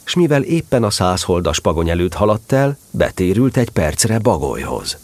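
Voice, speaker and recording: Deep, expressive male storyteller. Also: dry recording. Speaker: narrator. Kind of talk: expressive scripted children's story narration.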